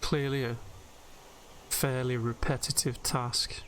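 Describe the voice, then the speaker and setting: Slow, even-toned man guiding sleep meditation. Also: mid-range voice. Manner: soothing, unhurried, gentle. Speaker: host and narrator of a game meditation video. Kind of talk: guided sleep meditation commentary over gameplay. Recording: steady background noise, game nature ambience. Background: game ambience of river and birdsong.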